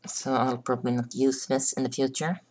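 A hoarse young man chatting casually to his webcam in a small bedroom, rambling and clearing his throat. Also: medium pitch, fairly even intonation, brisk, a little mellow. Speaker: vlogger. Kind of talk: casual makeup vlog chat. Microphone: webcam close up.

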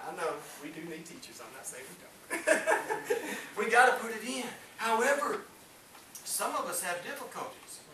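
A man's voice: high voice, big pitch swings, normal speed, sharp tone. A middle-aged man preaching to a small congregation, fervent and calling for amens, recorded by a distant camera. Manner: fervent, rising and falling, calls for amens. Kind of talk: Pentecostal-style sermon. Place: small church hall with congregation. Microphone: far camera, no mic seen. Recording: echoey room from far off with faint hiss.

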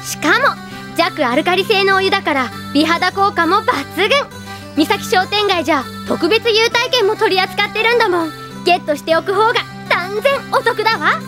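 Sweet, excitable teenage girl: extremely high, girlish anime drama voice. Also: moderate pace, music in the background. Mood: cheerful. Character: sweet, easily flustered teenage schoolgirl. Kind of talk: anime audio drama acting.

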